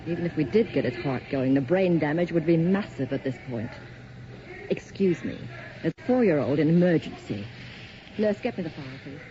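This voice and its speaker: An actress playing a brisk, unsentimental hospital doctor who gives curt commands in a 1980s radio drama. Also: female voice, sits low, animated. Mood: matter-of-fact. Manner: curt, commanding, matter-of-fact. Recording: muffled old radio recording with loud hiss.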